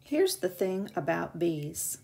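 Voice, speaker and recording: A woman reading a children's picture book aloud with expressive, deliberate phrasing for young listeners. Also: fairly low pitch, vocal fry. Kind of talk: read-aloud children's storytelling.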